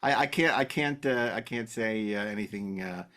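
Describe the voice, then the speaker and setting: A man co-hosting a podcast, chatting casually about films in an animated way, often repeating and stammering over his words. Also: on the low side, moderate pace. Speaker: podcast co-host.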